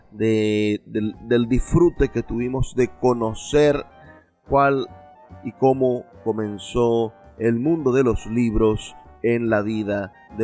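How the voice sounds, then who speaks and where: A man with a Venezuelan accent hosting a radio program, introducing it in deliberate, measured phrases. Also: medium pitch, a little mellow. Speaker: radio host. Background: music.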